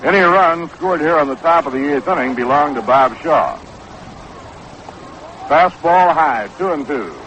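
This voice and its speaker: Higher-voiced American baseball announcer, dull noisy recording. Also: male voice, lively intonation, a little slow, pauses often, fairly bright tone. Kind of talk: 1960s live radio baseball commentary. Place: outdoor ballpark broadcast booth. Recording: dull, noisy 1960s AM radio broadcast.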